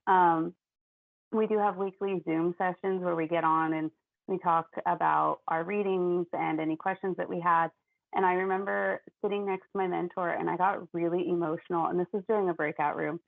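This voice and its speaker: A young American woman, a first grade teacher, speaking earnestly and chattily on a video call, with an ear infection that makes her talk loudly. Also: fairly low pitch, steady tone, normal speed, creaky, no room sound. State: has an ear infection, may sound loud. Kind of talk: online panel talk. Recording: dry, phone-like video call audio.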